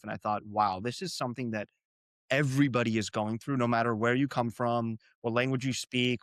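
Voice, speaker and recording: A young man from Arizona, a native Gujarati speaker, tells his personal story as an interview guest. He talks earnestly and reflectively, often repeating words while he gathers his thoughts. His voice sits at a middle pitch, and the recording is dry and close. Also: no echo. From Arizona, USA. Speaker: company co-founder, guest.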